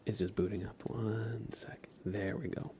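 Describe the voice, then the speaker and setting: A man hosting an ASMR livestream, chatting casually with viewers in a soft, breathy half-whisper, sometimes drawing words out and repeating them. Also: on the low side, fairly even intonation, a little slow, noisy background. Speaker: livestream host.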